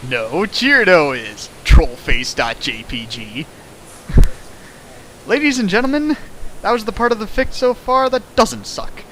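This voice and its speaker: A young man riffing sarcastically on a fanfic over a stream, laughing often and swinging between loud and soft. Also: high voice, expressive, a little slow, slight background noise, low-quality recording. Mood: mocking. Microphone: home mic on a stream.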